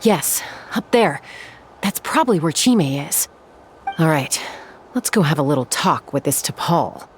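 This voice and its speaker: A woman's voice: sits low, expressive, unhurried, a little hiss or hum. An actress playing a self-assured young gunslinger woman in a fantasy video game, with a cool, composed, dry delivery. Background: game music.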